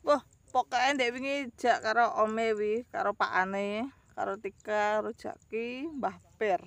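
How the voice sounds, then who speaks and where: Woman, 30s, javanese ngapak accent, slow rambling vlog chat, slightly high voice, outdoors, phone at arm's length. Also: no room sound. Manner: chatty, addresses viewers as guys, rambling. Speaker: vlogger. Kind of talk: casual vlog to camera. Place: outdoors by rice fields.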